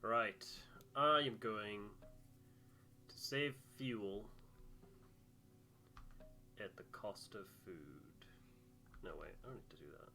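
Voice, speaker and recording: Bright, forceful man playing games. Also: fairly low pitch, expressive, slow pace, long pauses, soft background music. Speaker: let's player reading game dialogue aloud. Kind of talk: casual playthrough commentary.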